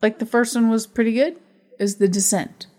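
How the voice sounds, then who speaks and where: A woman's voice: on the high side, steady tone, moderate pace, mellow. A woman co-hosting a casual film review podcast, teasing her co-host and laughing often.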